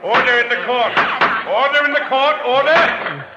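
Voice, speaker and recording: A man's voice: very high voice, animated, moderate pace, very noisy. An actor playing a stern male judge in an old radio drama, formal and commanding as he presides over a courtroom. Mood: commanding shout. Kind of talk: 1940s-50s radio drama acting. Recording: muffled vintage radio recording.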